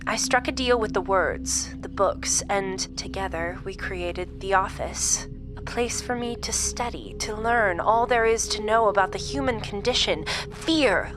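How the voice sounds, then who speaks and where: An actress playing a sinister supernatural woman captor in a horror audio drama, calm, theatrical and mock-polite as she gloats and schemes. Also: medium pitch, natural voice.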